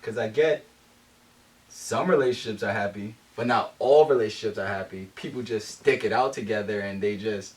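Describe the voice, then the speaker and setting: Mid-pitched man, questioning, off-mic. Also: moderate pace, distant mic. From Jamaican heritage. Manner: thoughtful, builds arguments with questions. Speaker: podcast co-host. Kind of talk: casual group discussion.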